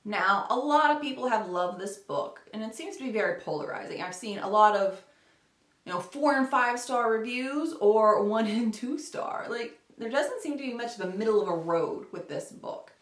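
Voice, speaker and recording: Animated woman chatting in an echoey room. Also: a little high, normal speed. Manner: chatty, rambling, self-correcting. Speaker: book vlogger. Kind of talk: casual book chat to camera. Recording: roomy, echoey home recording.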